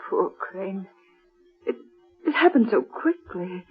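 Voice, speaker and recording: Fearful woman, very husky and breathy, slow, dismayed, vintage radio horror, dull recording. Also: mid-range voice, animated, full character voice. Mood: horrified. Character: fearful, hushed woman named Clara in horror tale. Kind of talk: old-time radio horror drama acting.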